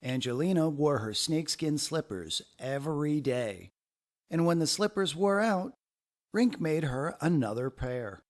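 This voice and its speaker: A man narrating a children's story aloud in an expressive, deliberate way with dramatic phrasing. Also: fairly high pitch.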